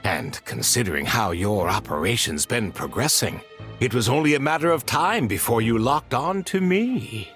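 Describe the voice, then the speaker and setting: An actor playing a ruined, vengeful crime boss in a video game, speaking in a theatrical, menacing, melodramatic way. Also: male voice, medium pitch, lively intonation, a bit bright, faint music behind, close to an everyday voice. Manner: melodramatic, menacing, drawn-out delivery. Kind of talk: video game cutscene acting.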